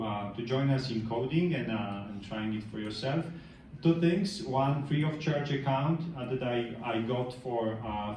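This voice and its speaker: Middle-aged Polish tech presenter, hesitant and filler-heavy, slight background hum. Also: male voice, mid-range voice, darkish tone, distant mic. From Poland. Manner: hesitant, many fillers and restarts. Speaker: tech speaker. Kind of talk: meetup workshop talk. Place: large meeting room. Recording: echoey room, speaker off-mic.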